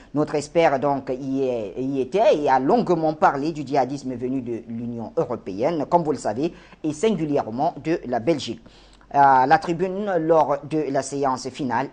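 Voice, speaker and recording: A man's voice: medium pitch, darkish tone. A man presenting a current-affairs program in a formal manner, with some hesitant filler sounds. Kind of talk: studio presentation.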